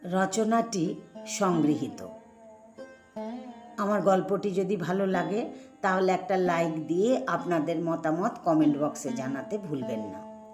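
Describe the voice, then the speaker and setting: A woman's voice: fairly low pitch, a little slow, long pauses. A woman with a Bengali accent, a story narrator speaking a scripted sign-off to listeners. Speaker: story narrator and channel host. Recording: echoey voice over sitar music.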